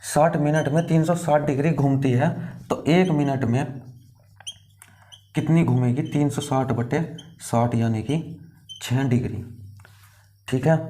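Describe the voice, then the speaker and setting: A man's voice: on the high side, mellow. A man teaching an exam lesson step by step, repeating key phrases and often checking in with the listener.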